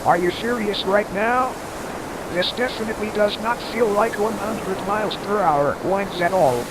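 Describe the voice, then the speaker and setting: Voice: medium pitch, close to an everyday voice. A performer voicing a foul-mouthed, hot-tempered storm reporter in an amateur audio drama, taunting and melodramatic. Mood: indignant, accusing. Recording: loud rushing wind and surf noise.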